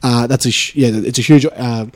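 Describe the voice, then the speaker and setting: A man's voice: medium pitch, fairly even intonation, moderate pace, no echo. Nasal, congested man hosting. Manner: rambling, many filler words. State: a bit sick, congested. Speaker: podcast host. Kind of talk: casual podcast chat. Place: home studio in a share house.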